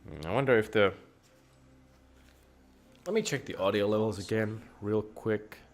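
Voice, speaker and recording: A man with a Finnish accent chatting and joking over a game at home, his voice jumping up when excited. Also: fairly low pitch, moderate pace. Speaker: game streamer. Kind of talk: casual game commentary. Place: home room.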